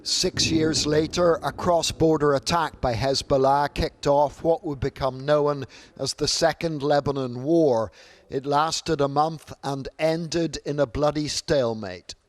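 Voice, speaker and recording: Male news correspondent, higher-pitched voice. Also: fairly even intonation, measured pace, no room sound. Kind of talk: scripted news report narration.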